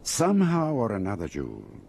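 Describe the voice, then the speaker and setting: Thoughtful confidant, deep expressive voice. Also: male voice, moderate pace, gravelly, slightly rough, full character voice. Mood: musing. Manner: articulate, reflective. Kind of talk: radio drama conversation.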